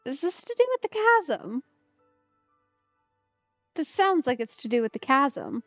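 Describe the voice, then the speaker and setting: A woman's voice: high voice, big pitch swings, measured pace, no echo. A woman streamer giving casual game commentary in an understated, wry way. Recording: slightly dull mic, music behind. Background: game music.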